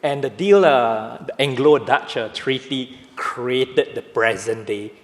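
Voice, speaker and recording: A man with a Malaysian accent speaking as a panelist, animated and peppered with hesitations and tag questions. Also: medium pitch, takes it slowly. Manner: animated, lots of filler uh, ends with right?. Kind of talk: panel discussion. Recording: reverberant hall.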